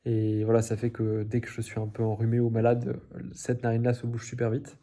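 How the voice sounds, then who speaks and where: Young man, casual video diary, very dark warm tone, level delivery, rambling with fillers. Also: mid-range voice, monotone, a little fast. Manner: rambling, many fillers and restarts; laughs at his own slips. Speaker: vlogger.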